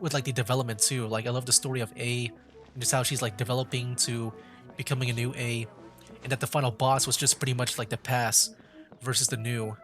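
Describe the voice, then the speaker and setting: A man streaming a video game, chatting casually about it in a rambling, halting way and often repeating himself. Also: mid-range voice, a bit bright. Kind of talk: casual chat and game commentary. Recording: faint game music behind. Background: video game music.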